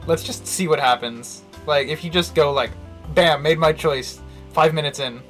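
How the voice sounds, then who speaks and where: A man's voice: on the high side, normal speed, fairly bright tone. A man giving casual, joking live commentary as a game streamer, with a wry manner. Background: faint game music.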